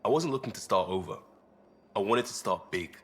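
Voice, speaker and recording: An easygoing crisis responder in a sci-fi video game, played by a man, with a fairly low voice. He is earnest underneath, but talks casually and bluntly, with a wry edge. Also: lively intonation, brisk, lightly acted. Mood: candid, proud. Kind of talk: video game cutscene dialogue.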